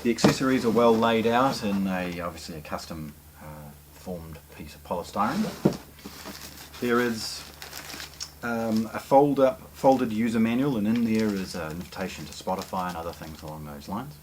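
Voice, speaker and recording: A very low-voiced man presents a product in an unscripted, explanatory way. He stresses the starts of phrases and pauses to find words. There is faint noise with small clicks behind him. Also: lively intonation. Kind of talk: unscripted unboxing commentary.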